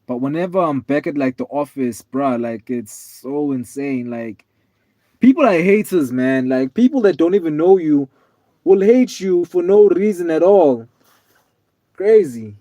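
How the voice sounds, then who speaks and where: A man in his twenties, tired, chatting casually with viewers on a live stream in a relaxed, rambling way with lots of fillers. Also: fairly high pitch, unhurried, no echo. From South Africa. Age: 20s. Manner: laid-back, rambling, many fillers, calls viewers man and bro.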